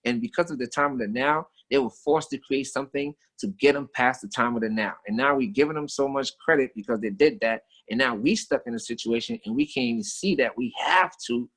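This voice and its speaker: American guy just talking, street slang, says stuff twice for emphasis, kinda philosophical storyteller, mid pitch. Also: fairly bright tone, low-quality recording. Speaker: guest, street artist. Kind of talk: casual conversational talk and storytelling.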